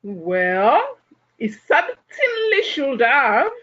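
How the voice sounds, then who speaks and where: A haughty biblical-era gossip played by a woman in an audio drama. Her high voice swoops widely as she passes condescending, disapproving judgment. The sound is slightly dull and lo-fi. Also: huge pitch swings, very slow, a bit bright, exaggerated voice. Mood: indignant. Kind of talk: audio play acting.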